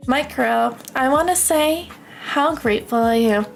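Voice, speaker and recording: Young american girl streamer just chatting, high pitched voice, gushy and expressive, some music in the background. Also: measured pace, creaky. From United States. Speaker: live streamer. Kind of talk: casual livestream chat.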